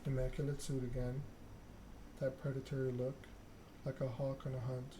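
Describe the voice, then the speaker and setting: A man reads narrative game text aloud as ASMR. His delivery is flat and deliberate, broken into short phrases. Clear background noise and clicking sit behind the voice. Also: mid-range voice. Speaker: video game streamer reading a story game aloud.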